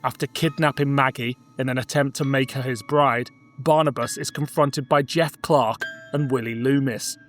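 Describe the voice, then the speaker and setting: A man's voice: medium pitch, fairly even intonation, normal speed, a bit bright, soft background music. A man reading a scripted plot synopsis as narration for a podcast. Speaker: podcast co-host.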